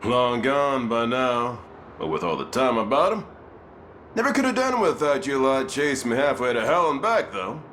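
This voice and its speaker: An actor playing a cocky, unrepentant hired sword in a video game, with a sardonic, colloquial way of talking. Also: male voice, mid-range voice, big pitch swings, normal speed, fairly bright tone, slight background noise. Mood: wry defiance. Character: cocky captured male mercenary, wry and unrepentant.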